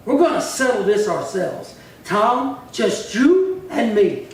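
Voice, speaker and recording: Man as menacing cowboy gunman, very high male voice, taunting, western radio drama, echoing room. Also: big pitch swings, slow pace, heavily acted. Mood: bitter, menacing. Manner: taunting, threatening. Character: vengeful, menacing gunslinger brother in a western. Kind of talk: old-time western radio acting. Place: live theatre stage performance. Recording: roomy, distant stage pickup with faint noise.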